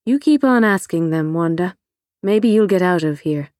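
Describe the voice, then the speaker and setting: A woman's voice: fairly low pitch, lively intonation, moderate pace, a little mellow, dry recording, close to an everyday voice. A woman playing a guarded, sardonic adult in an audiobook, terse and impatient with children. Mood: dry, probing. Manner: dry, terse, sarcastic. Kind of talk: audiobook character dialogue.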